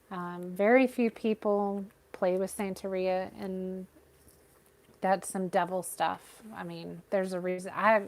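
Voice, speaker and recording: A woman giving a live tarot reading with a soothing, gentle voice, talking straight to her viewers. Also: medium pitch. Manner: gentle, reassuring, addresses viewers by name. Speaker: tarot reader.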